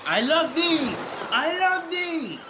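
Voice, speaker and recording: A man's voice: very high-pitched, very animated, takes it slowly, full character voice. A man playing a doomed, lovelorn sea captain with solemn, archaic declamation in an old-time radio drama style. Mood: mournful farewell. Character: doomed ghostly sea captain, older man, anguished lover. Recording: clearly noisy amateur stage recording.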